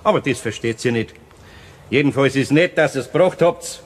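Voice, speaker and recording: An actor playing a good-natured, gruffly firm older craftsman with a Bavarian accent in a children's audio play, folksy, patient and dryly amused. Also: mid-range voice, normal speed, slight background noise, lightly acted. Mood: amused, kindly.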